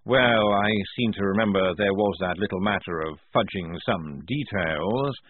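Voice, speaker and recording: A man plays an unflappable senior general who speaks slowly and calmly. The voice is low and husky. The sound is dry and tinny, like a phone line. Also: no echo. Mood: measured. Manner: slow, measured, calm. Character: calm, unflappable senior male army general.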